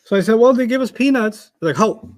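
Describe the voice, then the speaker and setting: A man's voice: very high voice, animated, quick pace, a little hiss or hum. A man, a native German speaker, teaching Arabic in a casual, chatty way and telling stories with laughter. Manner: chatty, storytelling, laughs often. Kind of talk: casual teaching chat with anecdotes.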